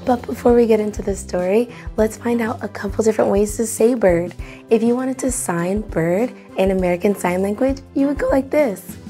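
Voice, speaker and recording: A woman's voice: medium pitch, moderate pace, mellow. Young female kids' presenter, encouraging and instructive, speaking directly to children over light music. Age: young adult. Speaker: children's storytime host. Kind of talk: lively instruction and read-aloud for young children.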